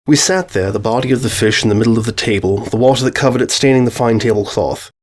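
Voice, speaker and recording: A man's voice: mid-range voice, fast. A man narrating a scripted short story as its narrator.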